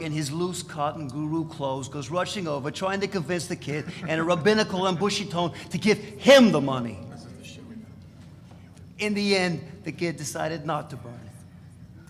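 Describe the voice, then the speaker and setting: A man's voice: a little high, close to an everyday voice. A man playing a brash, wry ex-radical who recounts wild exploits emphatically in a live dramatic reading of a novel. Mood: boastful, amused. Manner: emphatic storytelling, piling up lists. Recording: echoey hall, hissy live recording.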